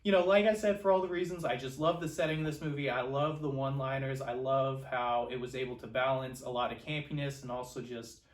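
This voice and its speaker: A man's voice: mid-range voice, fairly even intonation, quick pace, hardly any pauses. A man, casually discussing films as a podcast co-host in an enthusiastic, earnest way, recorded in a roomy space away from the microphone. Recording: roomy echo, distant from microphone.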